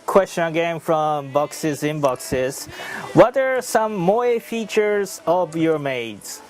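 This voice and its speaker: A low-pitched woman hosts an online interview, talking slowly and haltingly, often restarting her sentences. A faint hiss sits under the stream audio. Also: very animated. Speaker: host and sound engineer. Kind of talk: live-stream interview hosting.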